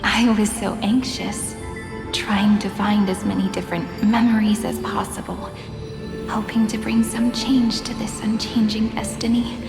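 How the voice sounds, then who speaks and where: Soft, wistful young woman in echoing reverb. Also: medium pitch, gravelly. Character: gentle, wistful young woman, devoted keeper of memories. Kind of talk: dramatic video game voice acting. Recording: long echoing hall reverb, music behind.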